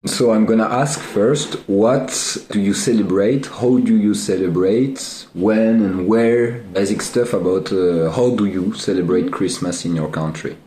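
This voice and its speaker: A man's voice: lowish voice, measured pace, few pauses, warm tone, a little hiss or hum. A man asking informal questions as an interviewer. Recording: echoey room.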